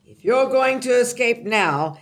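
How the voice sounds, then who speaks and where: An actress playing a cantankerous older aunt who scolds brusquely in a gothic comedy audio drama. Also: female voice, fairly high pitch, lively intonation, a little slow, fairly bright tone. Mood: scornful scolding.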